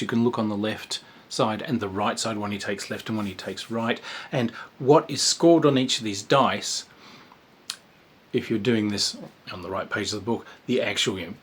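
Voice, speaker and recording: A man talks through a tabletop game in an easygoing, meandering way, full of fillers and restarts. His voice sits a little low and has a husky grain. A dry, dark humour runs through his delivery. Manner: rambling and informal, with many fillers and restarts. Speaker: game reviewer. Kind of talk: casual spoken game review.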